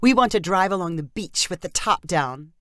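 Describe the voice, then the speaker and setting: A woman voicing an excited, stubborn young bride in a storybook reading, with an eager and insistent manner. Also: on the low side, moderate pace, no room sound, studio quality. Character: excited, stubborn young bride set on her dream honeymoon.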